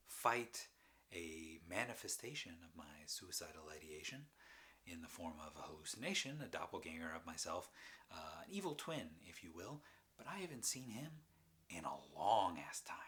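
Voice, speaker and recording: A man performing a halting, rambling confessional monologue as an anguished, troubled man, with sighs and bitter emphasis. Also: deep voice, pushed and bright, heavily acted. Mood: weary, despairing. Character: anguished, mentally ill man confessing and defending his drinking. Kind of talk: dramatic stage monologue, confessional.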